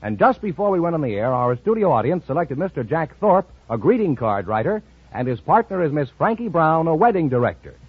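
American male announcer, husky edge, animated, presentational, dull dry vintage radio recording. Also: fairly high pitch, fairly quick, no echo. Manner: polished, presentational delivery. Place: radio studio. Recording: muffled vintage radio broadcast.

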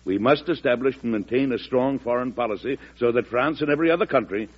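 An actor with an American accent playing a folksy, firm president in a 1940s radio drama, speaking in a conversational, deliberate way. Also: male voice, mid-range voice, husky, close to an everyday voice. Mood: measured, concerned. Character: folksy, firm American president telling his own story. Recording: muffled vintage radio recording.

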